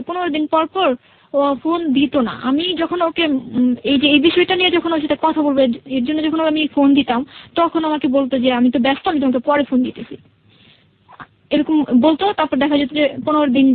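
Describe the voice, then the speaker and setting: A woman's voice: high-pitched, phone-quality sound. A young woman with a Bangladeshi accent, a guest telling her personal story conversationally and quoting reported dialogue.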